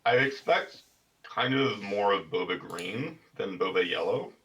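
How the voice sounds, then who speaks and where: A man discussing game strategy casually in a measured, explanatory way, as a player seeking advice over a voice call. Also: on the low side, lively intonation, a bit bright. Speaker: card game player getting deck advice. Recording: dull, distant voice-call microphone.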